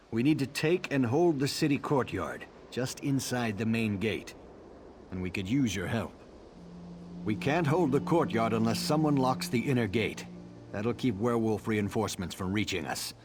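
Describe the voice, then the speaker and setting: An actor playing an earnest army commander in a fantasy video game, briefing in a firm, deliberate way. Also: male voice, medium pitch, a little hiss or hum, lightly acted. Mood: firm, encouraging. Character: earnest male army commander, a worried father.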